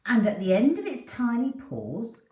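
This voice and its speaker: A woman's voice: a little high, huge pitch swings, moderate pace, a little mellow. A woman reading a children's story aloud with theatrical, dramatic delivery. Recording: echoey room, distant from the mic.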